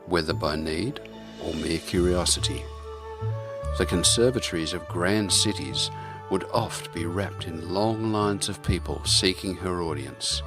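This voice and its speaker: Male radio host, deep low register, theatrical scripted narration, prominent music bed. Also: a little slow. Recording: music behind the voice.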